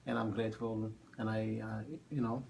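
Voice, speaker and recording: A man in his thirties from Ethiopia, a math teacher answering oral history questions in a halting way with many fillers. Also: on the low side, flat delivery, creaky, a little mellow, slight background noise. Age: 30s.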